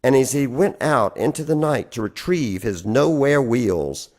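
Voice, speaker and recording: A man performing a dramatic spoken-word poetry reading, phrasing deliberately and with theatrical emphasis. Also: mid-range voice, animated, a little mellow. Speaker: reader of poetry.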